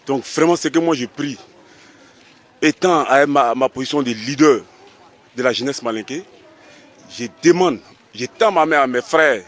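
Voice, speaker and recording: A man with an Ivorian accent speaking as a youth leader, making an appeal to a news camera outdoors. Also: medium pitch.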